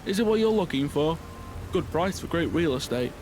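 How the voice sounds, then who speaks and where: A man's voice: a little high, expressive, moderate pace, darkish tone, slight background noise, close to an everyday voice. A man playing an eager, ingratiating property salesman in a radio drama, chatty and oily. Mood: eager selling.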